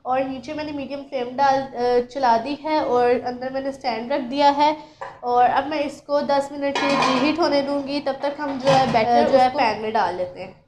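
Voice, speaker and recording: A woman's voice: sits high, steady tone, moderate pace, heavy background noise. A woman from Pakistan, patiently explaining a recipe step by step as a cooking channel host. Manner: patient, instructive, addresses viewers directly.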